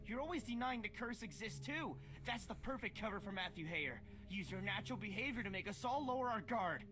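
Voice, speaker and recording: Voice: medium pitch, expressive, brisk, over music, close to an everyday voice. An actor playing a jumpy, paranoid young man who keeps accusing others. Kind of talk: game voice acting.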